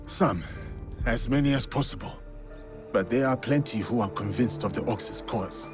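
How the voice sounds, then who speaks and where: A man playing a stoic, honorable warrior of feudal Japan in a video game, speaking in measured, solemn, formal phrases. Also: mid-range voice, soft background music, lightly acted. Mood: grave, resolute. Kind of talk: cinematic video game acting.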